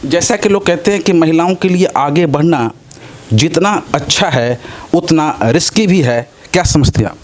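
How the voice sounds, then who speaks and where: Man, interviewer, fairly high-pitched voice, wordy roundabout questioning. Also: expressive, moderate pace.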